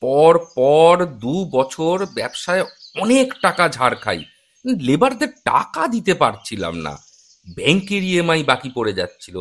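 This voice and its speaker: A polite male estate manager, played by an actor, explains himself in short halting phrases. His pitch moves in lively swings, in audio drama style. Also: exaggerated voice. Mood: explaining.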